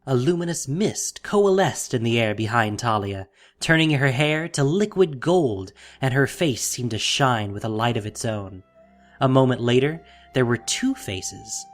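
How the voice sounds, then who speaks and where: A man's voice: medium pitch, expressive, unhurried, faint music behind. A man narrating a scripted fantasy story in a dramatic, solemn way.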